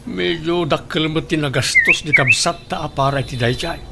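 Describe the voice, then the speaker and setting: A woman playing a plain-spoken younger sister in a radio drama, expressive, with a Filipino accent. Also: sits low, moderate pace, gravelly, heavy background noise. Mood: curious. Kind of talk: Ilocano radio drama acting.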